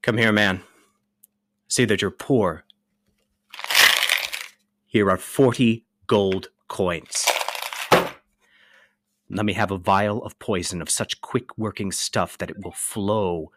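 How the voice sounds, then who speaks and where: Man acting a low-voiced brooding young tragic lover. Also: fairly even intonation, very noisy. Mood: urgent, forceful. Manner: theatrical, deliberate declaiming. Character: anguished young nobleman lover, brooding and resolute. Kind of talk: dramatic reading of a modernised stage tragedy.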